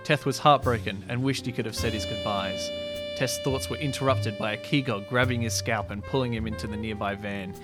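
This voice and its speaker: A man's voice: on the low side, steady tone, brisk. Self-amused man podcasting over music. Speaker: podcast host and amateur story writer. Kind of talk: reading his own sci-fi story, casual chat.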